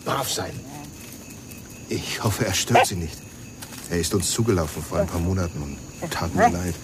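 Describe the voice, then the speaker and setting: An actor playing a polite, deferential caretaker of a country house in a German radio play. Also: male voice, sits low, moderate pace. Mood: polite, placating. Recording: outdoor ambience with clear background noise.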